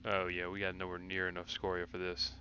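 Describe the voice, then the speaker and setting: A man's voice: low voice, completely flat delivery, a little fast, highs cut off, noisy background. A man giving casual gameplay commentary as a streamer, thinking aloud in an informal, slangy way. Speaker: game streamer.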